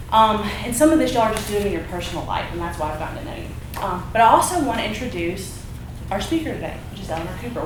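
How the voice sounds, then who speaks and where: A woman, a newspaper editor, introducing a speaker to a room in an earnest, chatty way. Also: mid-range voice. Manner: earnest, laughs often, many fillers. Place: event room with audience. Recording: very echoey room, audible noise.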